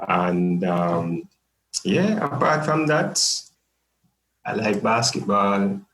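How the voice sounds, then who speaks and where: A man's voice: lowish voice, lively intonation, very slow, fairly bright tone, roomy sound. A young man with a Jamaican accent, a podcast guest talking casually and jokingly with many fillers. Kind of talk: casual interview chat.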